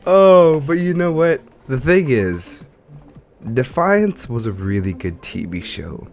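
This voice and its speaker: A man hosting a podcast, chatting casually about games in an animated, informal way with slangy phrasing. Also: medium pitch, slow. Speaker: podcast host. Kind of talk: casual gaming podcast chat.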